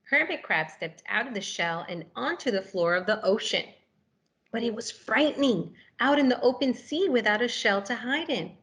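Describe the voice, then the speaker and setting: A woman reading a picture book aloud to children, animated and expressive, with questions for young listeners. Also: a little high, moderate pace. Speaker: story reader for children.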